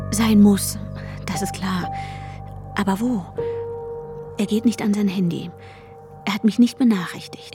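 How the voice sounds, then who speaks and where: Over a clearly audible music bed, an actress plays an anxious woman with an intense, hushed delivery. Her sentences are short and clipped, in the manner of a radio drama heroine who needs control. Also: mid-range voice, lightly acted. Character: anxious, controlling woman searching for her vanished fiancé, narrating. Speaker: protagonist and narrator. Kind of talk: radio drama inner monologue and dialogue. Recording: music under the voice.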